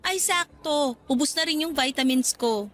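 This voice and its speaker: A woman in a radio-style drama playing a jealous, suspicious young wife with a sharp, accusing manner. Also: high voice, normal speed, lightly acted. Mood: casual.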